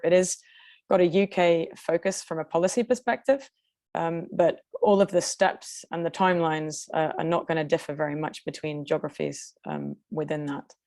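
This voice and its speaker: A woman with an English accent, speaking quickly with many fillers and restarts as she presents and answers questions on a video call. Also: deep voice, a little mellow, no room sound. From Denmark. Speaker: conference presenter. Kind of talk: webinar presentation and Q&A.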